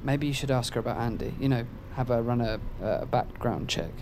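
A man's voice: mid-range voice, a little fast, background noise, natural voice. A man playing a teasing but concerned friend in an audio drama, casual and wry, with an American accent. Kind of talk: naturalistic audio drama acting.

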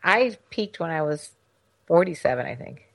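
Middle-aged woman, very low voice, gravelly, chatty teasing podcast host. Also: lively intonation, moderate pace. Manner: chatty, teasing, rambling restarts. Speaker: podcast co-host, sex expert. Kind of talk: casual chat.

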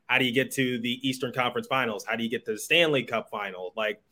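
A man's voice: mid-range voice, quick pace, pushed and bright. A man hosting a sports podcast, talking conversationally about hockey with his guest. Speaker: podcast host, sports journalist.

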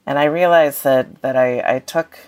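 A woman's voice: extremely low pitch, normal speed, darkish tone, smooth. A woman speaking as a guest author in a thoughtful interview, hesitantly searching for her words.

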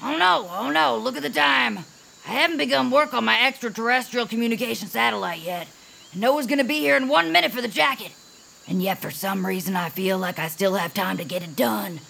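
A woman playing an eccentric, excitable small inventor creature with a creaky voice and theatrical, self-important phrasing, in a cartoonish audio drama. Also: on the high side, brisk, a little hiss or hum, full character voice. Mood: panicked. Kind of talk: cartoonish audio fiction acting.

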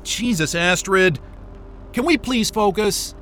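A man's voice: high-pitched, slow, bright, forceful tone, heavily acted. An actor playing a panicked, sweary man stranded at a polar station in an audio drama, urgent and exasperated. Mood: irritated, hurried.

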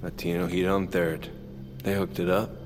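A man's voice: deep voice, level tone, moderate pace, in a large hall, over music, lightly acted. An actor playing a young, dramatic, sarcastic man who swears freely in an audio comedy drama. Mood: tense. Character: excitable, dramatic, foul-mouthed man, sulky would-be hero.

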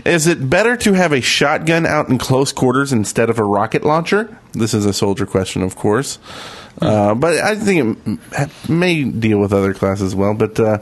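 A man with a middling-pitched voice talks casually as a gaming podcast co-host. He banters and jokes, moving loosely between reading questions aloud and giving his own take. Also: animated, normal speed, few pauses. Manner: joking, banters with co-hosts.

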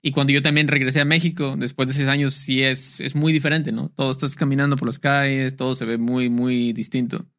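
A man's voice: mid-range voice, level tone, a little fast, hardly any pauses, a bit bright. Agreeable male podcast host. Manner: agreeable, chimes in with affirmations. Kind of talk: casual interview chat.

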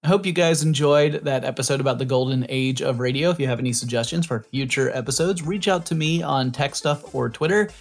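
A man's voice: medium pitch, a little fast, few pauses. A man hosting a podcast, speaking directly to listeners in a sign-off style. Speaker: podcast host. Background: faint music.

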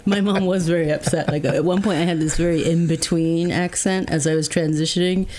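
A woman's voice: fairly low pitch, fairly even intonation, fairly quick, a little mellow. A woman guest in a casual interview, talking reflectively with many fillers like "like" and "um", with faint background noise. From England.

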